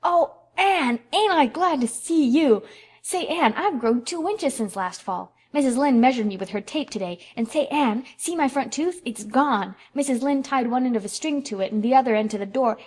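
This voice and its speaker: Woman narrator putting on a young boy: high, bouncy, eager chatter. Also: expressive, brisk, hardly any pauses, a little mellow, breathy edge. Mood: excited. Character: excitable chatty little boy.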